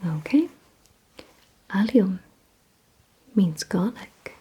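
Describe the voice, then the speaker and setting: A woman leads an ASMR roleplay in an extremely breathy whisper, right up at the microphone. Her tone is dark and mellow. She gives instructions very slowly and deliberately, repeating words and leaving pauses between them. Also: mid-range voice, expressive. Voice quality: breathy whisper, mostly unvoiced. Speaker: ASMR creator leading a word-guessing game. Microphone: close ASMR microphone.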